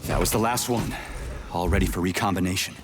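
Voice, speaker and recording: A man's voice: low-pitched, a little fast, noisy background, natural voice. An actor playing a blunt, weary, sardonic male soldier in a video game, speaking in terse remarks. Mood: firm, blunt. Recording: loud game music under voice.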